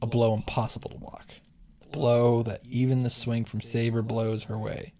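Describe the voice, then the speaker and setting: A man reading a visual novel's grave young protagonist in a hushed, solemn, measured way. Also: mid-range voice, little pitch movement, natural voice. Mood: grim, hushed. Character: grave young male protagonist narrating a deadly battle. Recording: music behind the voice. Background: music.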